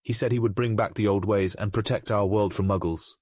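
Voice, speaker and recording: A man playing a grave, remorseful aristocratic father in a comic dub, speaking in a formal, measured way. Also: lowish voice, fairly even intonation, a little mellow, slightly rough, dry recording, studio quality. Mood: solemn, remorseful.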